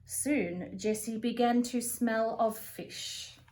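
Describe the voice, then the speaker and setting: A woman reading a children's picture book aloud as a storyteller, with an expressive, deliberate delivery. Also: on the high side. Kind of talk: read-aloud for young children.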